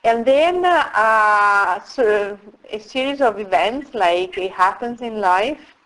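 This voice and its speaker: Slow, reflective woman. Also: medium pitch, animated, a bit bright, low-quality recording. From Italy. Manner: slow, reflective storytelling with frequent pauses. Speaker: guest. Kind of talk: casual interview.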